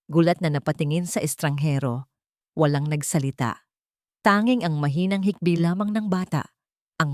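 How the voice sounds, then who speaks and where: A woman's voice: fairly low pitch, darkish tone, dry recording, studio quality. A woman with a Filipino accent reading a scripted story in a dramatic narrating style. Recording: music behind the voice. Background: music.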